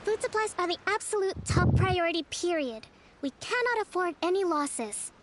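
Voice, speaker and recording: A woman playing a composed, caring young resistance leader in a video game, speaking in a formal, measured, earnest way. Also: very high voice, expressive, background noise. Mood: firm. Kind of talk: scripted video game dialogue.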